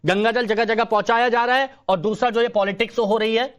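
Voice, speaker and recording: High-pitched, insistent male debate host. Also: fairly even intonation, quick pace, fairly bright tone, clear, resonant tone, muffled recording. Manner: insistent, interrupting, repeats his questions. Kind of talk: heated panel debate moderation.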